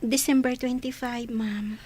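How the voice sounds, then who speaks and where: A woman's voice: fairly high pitch, steady tone, unhurried, a little mellow, breathy edge, no room sound, faint music behind. A woman acting a polite, kind-hearted young working student in a Filipino radio drama. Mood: polite, surprised.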